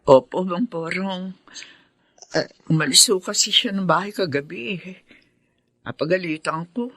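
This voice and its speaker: A woman's voice: on the low side, measured pace, fairly bright tone, no room sound. An actress playing a worried, loving middle-aged mother from a poor family in a radio drama. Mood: worried, regretful.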